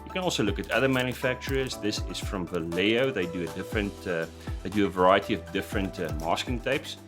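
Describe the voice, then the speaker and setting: A man hosting a scale model tutorial in voice-over, talking hesitantly with many "uh" fillers and restarts, his voice slightly croaky after an illness. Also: low voice, fast. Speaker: scale model building host. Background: background music.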